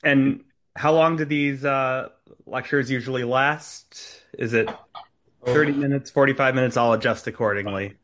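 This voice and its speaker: A young man speaks as a guest lecturer over a video call. He is easygoing and conversational, with plenty of ums as he explains. His voice sits at a middle pitch. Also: moderate pace, a little hiss or hum. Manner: relaxed, conversational, many ums.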